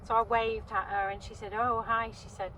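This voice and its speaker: A woman's voice: a little high, flat delivery, moderate pace, sharp tone, rough recording. A woman in her fifties, originally from Wales, chatting casually to camera from inside her parked van, rambling with lots of ums and restarts. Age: 50s. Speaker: vlogger. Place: inside a parked van by a river on a windy day. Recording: wind noise in a parked van. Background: gusting wind outside.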